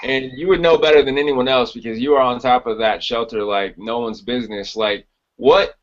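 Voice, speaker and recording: A man's voice: medium pitch, steady tone, moderate pace. A man hosting a casual podcast interview, talking in a blunt, informal way. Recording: dull lo-fi podcast recording.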